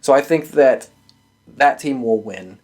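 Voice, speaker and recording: A man's voice: mid-range voice, animated, measured pace, a little mellow. Blunt, sweary man giving casual commentary. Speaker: wrestling podcast host giving predictions. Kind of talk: casual opinionated solo commentary.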